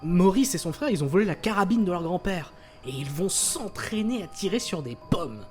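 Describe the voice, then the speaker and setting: A man's voice: high voice, animated, normal speed, a little mellow. A man playing a surly, foul-mouthed teenage big brother, slangy and dismissive, in an audio drama. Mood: excited, brusque. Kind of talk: French audio drama acting. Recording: music behind the voice.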